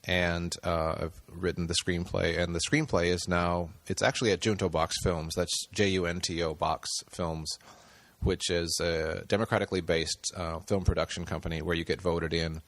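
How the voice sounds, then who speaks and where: A man's voice: deep voice, level tone, moderate pace, fairly bright tone, no echo. A man talking as a guest on a podcast, thinking aloud with many fillers and restarts. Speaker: podcast guest, screenwriter and director. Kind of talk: casual interview talk.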